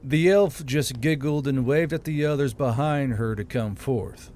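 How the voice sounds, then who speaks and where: A man's voice: mid-range voice, measured pace, a little mellow. A man narrating a fantasy story as an audiobook reader and channel host. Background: background music.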